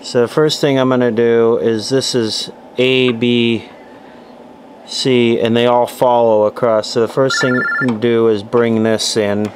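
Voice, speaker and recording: A man's voice: medium pitch, little pitch movement, a little slow, background noise. A man casually demonstrating a radio repair at his workbench, explaining each step plainly as he works. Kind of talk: casual hands-on workshop demo.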